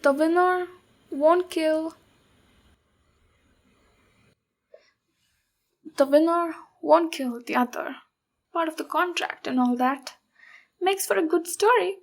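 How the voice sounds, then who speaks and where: Woman acting as female ogre warrior, high-pitched, slow-paced, gentle, encouraging mentor, intimate ASMR fantasy roleplay. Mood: matter-of-fact, casual. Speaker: ASMR voice actor.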